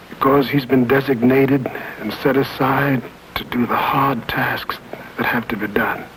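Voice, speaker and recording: Solemn man reciting in hushed tones. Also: medium pitch, a little hiss or hum, poor audio. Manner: grave, slow, dropping to hushed whispers. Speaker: actor delivering a monologue. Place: dark studio set, indoors.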